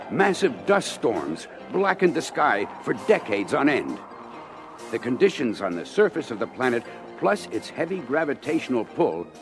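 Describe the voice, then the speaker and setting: An actor playing a grave, dignified space-colony minister giving a formal, measured speech on an audio adventure record. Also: male voice, mid-range voice. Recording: vintage LP with music behind.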